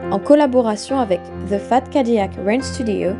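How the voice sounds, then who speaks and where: Woman announcing over loud music. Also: on the high side, normal speed. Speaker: announcer of closing credits. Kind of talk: scripted announcement.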